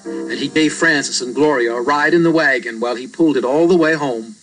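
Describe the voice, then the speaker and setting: A man's voice: medium pitch, fairly quick, a bit bright, faint music behind, poor audio. A man reading scripted narration from a children's storybook.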